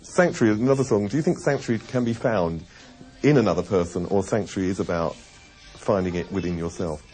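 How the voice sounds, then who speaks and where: A man's voice: sits low, fairly quick, dark tone, faint music behind, poor audio. A man interviewing, asking pointed and challenging questions.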